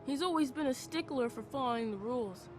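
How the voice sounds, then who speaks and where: A woman's voice: sits high, lively intonation, brisk, over music. A woman voicing a sullen, defiant school-age boy in a video game. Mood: sullen, resigned. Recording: loud street and vehicle noise behind.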